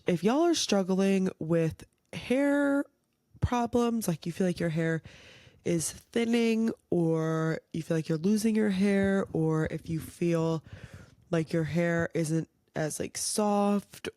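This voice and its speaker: A young woman chats on her own podcast in a loose, rambling way, full of "like" and side tangents. A lingering cold leaves her slightly nasal and raspy, recorded close and dry with no room sound. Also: lowish voice, a little mellow, no echo. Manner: chatty, rambling, lots of "like". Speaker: podcast host. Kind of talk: casual solo podcast chat.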